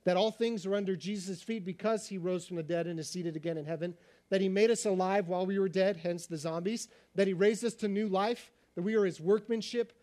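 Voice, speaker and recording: A man preaching a sermon as pastor, talking fast and animated, his voice slightly raspy. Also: high voice.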